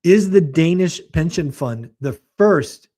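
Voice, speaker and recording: A man hosting a real estate show, giving blunt, emphatic market commentary in a slightly hoarse voice. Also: fairly high pitch, animated, takes it slowly, warm tone. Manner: emphatic, blunt and colorful, mocking. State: slightly hoarse, losing his voice.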